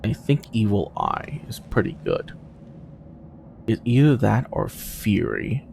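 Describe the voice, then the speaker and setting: Low, creaky-voiced man gaming. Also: huge pitch swings, takes it slowly, a little mellow, slight background noise. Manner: thinking aloud, deliberating. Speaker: gamer commenting on own playthrough. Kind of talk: casual gameplay commentary.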